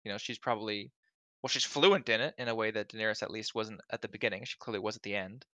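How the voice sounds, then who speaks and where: Excitable male co-host, punchy bright tone, loud outbursts when quoting, dry recording. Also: lowish voice, quick pace, no echo. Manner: excitable, acts out quotes with big swings in loudness. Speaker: podcast co-host. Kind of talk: casual chat.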